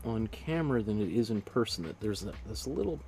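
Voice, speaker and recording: A male painting instructor leading a live-streamed lesson, thinking aloud as he works and chatting with viewers in a relaxed, friendly way, sometimes dropping to soft murmured asides. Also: lowish voice, brisk, soft background music.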